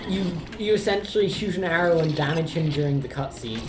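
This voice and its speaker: A woman's voice: deep voice, fairly quick, rough recording. A woman chatting casually and joking dryly as she co-hosts a gameplay video. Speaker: gaming video co-host and player. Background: loud video game music.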